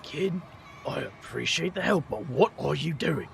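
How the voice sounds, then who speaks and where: Gravelly, wry British magician played by a man. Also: fairly high pitch, animated, unhurried, full character voice. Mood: exasperated. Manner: dry, colloquial, self-deprecating. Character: wry, world-weary male magician, scrappy and defensive. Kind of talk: audio drama narration and acting.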